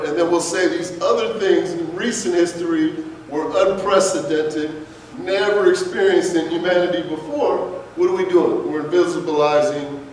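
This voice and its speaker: A man lecturing with passion and emphasis, his voice pitched a little high for a man. He is picked up from far off, and the sound rings through a large, echoey hall. A faint hiss sits under it. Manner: impassioned, emphatic, asks rhetorical questions. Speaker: guest speaker. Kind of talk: lecture to an audience.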